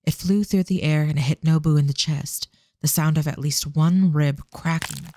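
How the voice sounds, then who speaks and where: A scripted fantasy audio-drama narrator with measured, descriptive delivery. The voice has noticeable vocal fry and is recorded close and very dry. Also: mid-range voice, steady tone, darkish tone, dry recording.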